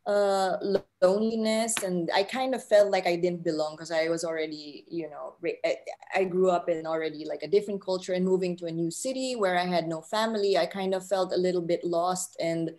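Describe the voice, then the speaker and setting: A woman's voice: on the low side, a little fast, a little mellow. A woman in her thirties from the Philippines, giving casual, reflective interview answers on a video call with a hesitant manner. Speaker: film writer and director, panel guest. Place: living room on a video call. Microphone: laptop mic on video call.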